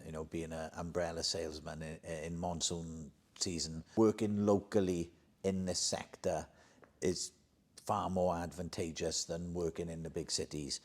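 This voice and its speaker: A man who hires for an energy company, talking conversationally on a podcast, often dropping into quiet asides. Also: low-pitched. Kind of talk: podcast discussion.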